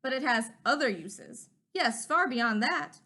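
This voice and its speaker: A woman's voice: high voice, lively intonation, unhurried, pushed and bright, full character voice. A woman voicing a greedy, boastful businessman who brags in a brash, salesy way.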